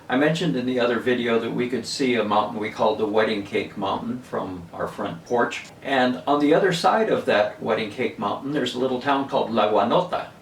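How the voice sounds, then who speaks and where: Gravelly older man, roomy and distant. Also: medium pitch, slight background noise. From Venezuela. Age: 70s. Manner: unhurried, reminiscing, chuckles at his own stories. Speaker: linguistics video host. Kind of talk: casual reflective storytelling. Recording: roomy echo, mic at a distance.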